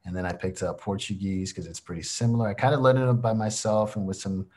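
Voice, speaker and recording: A young man from Nigeria whose first language is Yoruba, talking as an interview guest over a video call in an energetic, playful, slangy way and laughing a lot. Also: lowish voice, quick pace, breathy edge. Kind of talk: casual interview. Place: home in Bali.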